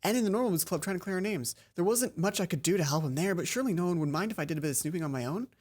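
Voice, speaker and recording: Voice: mid-range voice, animated, rapid-fire. A let's player reading visual novel narration aloud, stumbling and rereading lines, with casual asides. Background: soft game music.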